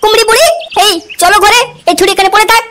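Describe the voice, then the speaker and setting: A woman's voice: very high-pitched, steady tone, fast, a bit bright, slight background noise. A woman voicing a jealous, bossy, foul-mouthed wife in an amateur cartoon comedy, scolding and threatening.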